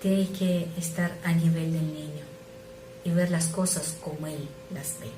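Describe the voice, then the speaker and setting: Low-voiced non-native woman preaching. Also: steady tone. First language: not Spanish. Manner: earnest, measured, drops to soft asides. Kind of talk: sermon. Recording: echoey room with noise.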